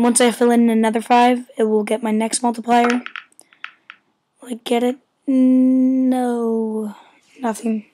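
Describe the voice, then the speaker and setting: A hoarse-voiced woman who is losing her voice from illness rambles in casual let's-play commentary at home, full of fillers and stumbles. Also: a little high, a little mellow, a little hiss or hum. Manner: rambling, filler-heavy, stumbles over words. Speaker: gameplay commentator. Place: a room at home.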